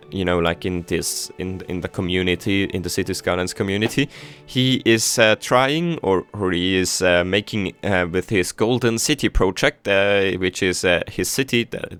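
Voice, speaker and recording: A man's voice: on the low side, a bit bright, clean tone. A young man whose first language is German, chatting casually over his city-building game with many fillers and restarts. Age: teens. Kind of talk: casual gameplay commentary.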